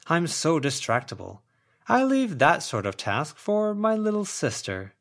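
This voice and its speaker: A man's voice: fairly high pitch, wildly swooping pitch, a little slow, fairly bright tone, pitched above the performer's own voice, exaggerated voice. A man voicing a dreamy, eccentric young man with a theatrical, poetic manner in an audiobook. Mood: whimsical, languid. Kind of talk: audiobook character voice.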